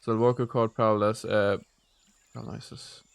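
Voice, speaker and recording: A man's voice: fairly low pitch, flat delivery, normal speed, gravelly, smooth, no echo. A man streaming a video game, thinking aloud in a rambling way and chatting with his viewers. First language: German. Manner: answers chat messages as he plays. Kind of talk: casual live-stream chat while playing.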